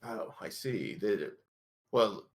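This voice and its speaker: A man improvising in-character tabletop roleplay, speaking in a halting, stammering and rather formal way. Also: lowish voice, expressive.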